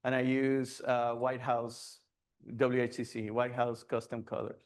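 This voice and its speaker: Smooth-voiced man giving a casual talk. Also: medium pitch, little pitch movement, measured pace. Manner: loose, conversational, many fillers and restarts. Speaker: guest speaker at a photography club. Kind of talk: presentation with audience questions. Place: meeting room.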